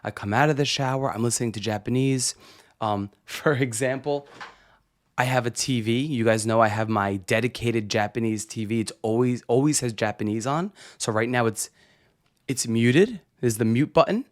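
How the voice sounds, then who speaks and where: A man's voice: medium pitch, moderate pace. Chatty man hosting a podcast. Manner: chatty, frequent "right?" tags and restarts. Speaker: podcast host. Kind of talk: casual solo podcast monologue.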